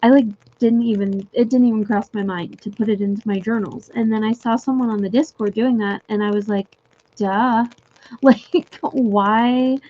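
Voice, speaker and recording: A young woman chatting casually with viewers on a livestream from home, giggly and full of fillers, her voice going a little hoarse. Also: on the high side, steady tone, normal speed, mellow, lo-fi sound. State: losing her voice. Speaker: livestream host. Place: home room.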